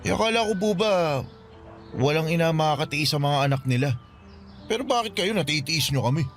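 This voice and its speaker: A man's voice: on the high side, animated, brisk, faint music behind. An actor playing a hurt, bitter and stubborn boy in a radio drama. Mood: pleading, hurt. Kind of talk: Tagalog radio drama acting.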